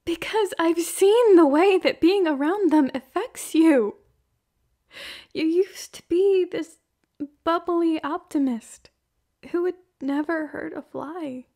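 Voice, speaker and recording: A young woman playing a hurt, heartbroken girlfriend in an emotional, halting ASMR roleplay monologue. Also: very high-pitched, mellow, full character voice. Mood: sad, pleading.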